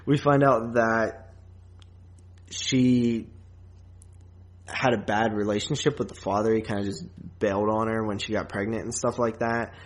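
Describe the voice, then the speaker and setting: A man's voice: fairly low pitch, fairly even intonation, measured pace, pauses often. A man co-hosting a podcast, casually reviewing a film in a rambling, loose way with plenty of filler and some swearing. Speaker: podcast co-host.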